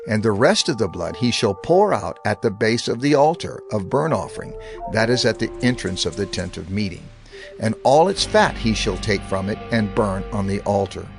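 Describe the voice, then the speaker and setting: Gravelly male narrator over music. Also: fairly low pitch. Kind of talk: dramatized scripture narration.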